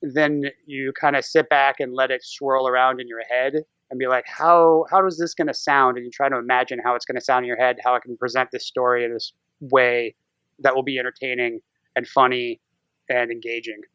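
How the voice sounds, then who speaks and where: A man speaks in a bright, smooth voice as a guest in a casual interview. He rambles playfully through his stories. The sound is dry and slightly dull. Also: mid-range voice, level tone, fairly quick, no echo. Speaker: podcast guest; podcaster and amateur voice actor.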